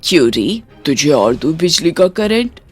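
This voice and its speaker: A woman's voice: low voice, sing-song, a little slow, breathy edge, soft background music, heavily acted. A woman voicing a cruel older mother-in-law in a moral story, stern and threatening. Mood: cold menace.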